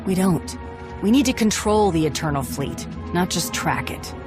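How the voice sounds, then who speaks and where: A woman's voice: on the low side, animated, normal speed, lightly acted. A woman playing a pragmatic, tough bounty hunter commander in a video game, matter-of-fact and assertive. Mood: firm, pragmatic. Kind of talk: scripted video game acting. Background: background music.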